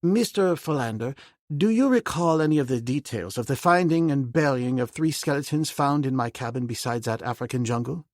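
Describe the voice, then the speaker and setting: A man reading an audiobook, voicing a calm, decisive young man raised in the jungle who speaks plainly. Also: mid-range voice, a little fast, no room sound, clean studio sound, voice pushed up, natural voice. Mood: probing. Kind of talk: audiobook character voice.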